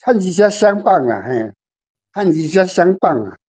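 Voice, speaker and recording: Older Taiwanese man, slightly high voice, rambling. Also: sing-song, normal speed, darkish tone, slightly rough. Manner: rambling, anecdotal, repeats phrases. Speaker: author guest discussing their story. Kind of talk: casual literary discussion.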